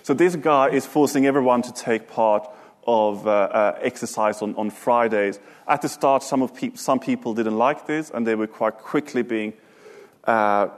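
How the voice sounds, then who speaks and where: Male speaker from Sweden, middle pitch, measured lecture delivery, thinks aloud on panel answers. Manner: measured, thoughtful, with hesitant restarts when answering. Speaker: author and speaker. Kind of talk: lecture, then panel discussion.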